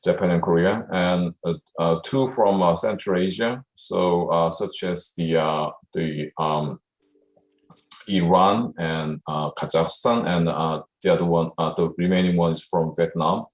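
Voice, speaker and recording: A very deep-voiced man chairs a conference session and sums up the discussion, stopping often to fill pauses and restart his sentences. His voice sounds echoey, as if in a roomy space. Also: fairly even intonation. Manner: hesitant, many uhs and restarts. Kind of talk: conference summary.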